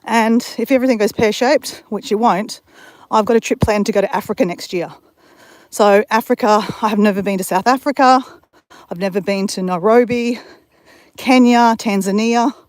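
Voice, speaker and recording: A woman's voice: medium pitch, moderate pace, dry recording. An Australian woman chatting casually to her channel while out walking, rambling with fillers and restarts. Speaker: vlogger. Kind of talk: casual walking vlog chat. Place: outdoors on a walk.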